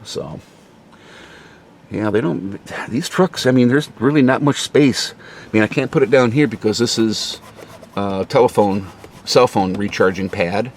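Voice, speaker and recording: A man in a casual, hesitant show-and-tell, thinking out loud like a DIY vlogger in an informal, rambling way. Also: on the low side, unhurried. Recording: clearly noisy, radio chatter at times.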